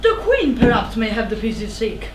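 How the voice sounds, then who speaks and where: A woman's voice: fairly high pitch, big pitch swings, normal speed. A woman playing a humble, fearful old shepherd in a stage tragedy, deferential, pleading and evasive. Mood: sorrowful. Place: indoor theatre stage. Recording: echoey theatre, distant mic, noisy.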